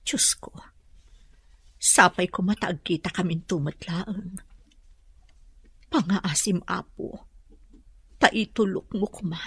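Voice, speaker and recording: A woman's voice: lowish voice, takes it slowly, long pauses, fairly bright tone, husky, full character voice. An actress playing a sorrowful woman pleading in prayer in an Ilocano radio drama.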